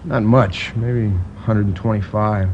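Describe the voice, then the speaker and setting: An actor playing a talkative, indignant liquor store manager recounting a robbery in a 1950s radio crime drama, rambling with hesitations. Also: male voice, on the low side, fairly even intonation, a little slow, a little mellow, slight background noise, lightly acted. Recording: dull old radio transcription.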